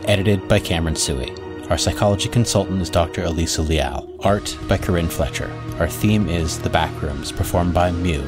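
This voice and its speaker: Music plays clearly under a man with a very low voice. He is a podcast announcer, reading scripted credits.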